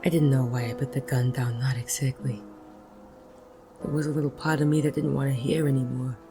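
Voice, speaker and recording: Brooding noir detective, flat and terse, acted. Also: medium pitch, fairly quick, over music, natural voice. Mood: brooding, weary. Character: world-weary hardboiled male detective, conflicted, gruff, smitten. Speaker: narrator and lead actor. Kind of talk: noir audio drama acting with first-person narration.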